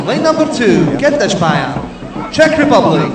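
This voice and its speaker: A man's voice: high-pitched, sing-song, a little slow, a crowd in the background. A man with a Hungarian accent doing formal, projected bilingual race announcements over a public address system. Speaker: event announcer. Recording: distant echoing loudspeaker over loud music.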